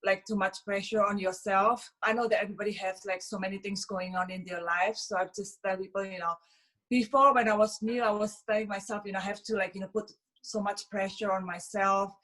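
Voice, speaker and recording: A woman's voice: medium pitch, steady tone, a little fast, a bit bright, poor audio. Chatty woman from Indonesia. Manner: chatty, many fillers like "you know" and "like". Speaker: fashion influencer, interview guest. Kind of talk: casual interview answers.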